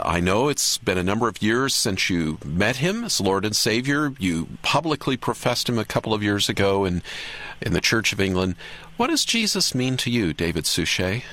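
Male radio host, lively rising intonation, fairly low voice. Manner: conversational, frequent affirming murmurs. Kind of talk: interview questions.

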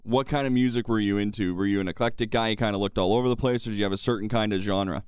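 A man's voice: on the low side, steady tone, very fast, clean tone, no echo, clean studio sound. A man hosting a radio podcast interview, chatty and easygoing, laughing along with his guest. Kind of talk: casual interview.

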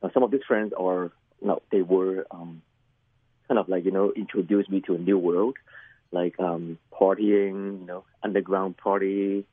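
A middle-aged man from Hong Kong whose first language is Cantonese, a pastor telling his life story in an interview, halting and repeating words with many fillers. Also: deep voice, steady tone, dry recording, narrow, tinny audio. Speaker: pastor and chaplain, guest. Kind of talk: personal testimony interview.

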